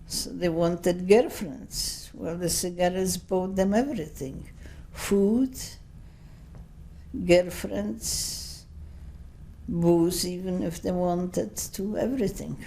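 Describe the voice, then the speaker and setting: Older woman, seventies, second-language English, rambling anecdotes, gravelly voice, unhurried. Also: fairly low pitch, mellow, slight background noise. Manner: slow, rambling, anecdotal with many asides. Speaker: interviewee giving oral history testimony. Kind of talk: unscripted recollection.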